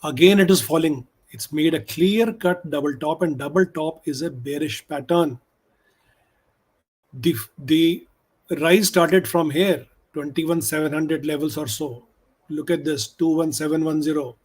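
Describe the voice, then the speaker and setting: A man's voice: fairly high pitch, unhurried, darkish tone, slightly rough. A man with an Indian accent, whose first language is Hindi, giving emphatic stock market commentary and mixing in Hindi. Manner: emphatic, switches between English and Hindi. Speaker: stock options trader.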